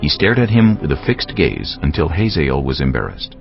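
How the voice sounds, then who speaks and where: A man narrates scripture in an extremely deep voice with a creaky grain. His delivery is varied in pitch. Quiet music plays behind the slightly muffled recording. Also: very animated, moderate pace.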